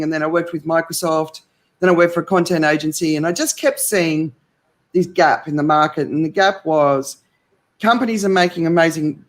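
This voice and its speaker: A middle-aged woman with an Australian accent, talking as a guest in an interview and recounting her career in an enthusiastic, rambling way. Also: low voice.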